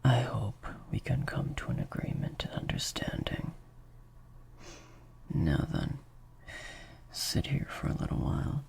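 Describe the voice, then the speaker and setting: A man acts out a sadistic vampire master in an intimate audio roleplay. His voice is low and heavily creaky, often slipping into a whisper. He draws out his words slowly, taunting and praising with menacing softness, the pitch swinging expressively. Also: very animated, full character voice. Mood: approving, calm. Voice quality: breathy, creaky, mostly whispered. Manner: slow, drawn-out, softly taunting. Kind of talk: improvised ASMR-style audio roleplay.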